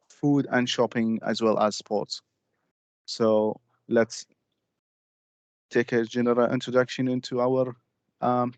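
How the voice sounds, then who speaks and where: A man from Syria giving a steady, slightly formal group presentation over a video call. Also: medium pitch, no echo, lo-fi sound. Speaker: student presenter. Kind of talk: class presentation.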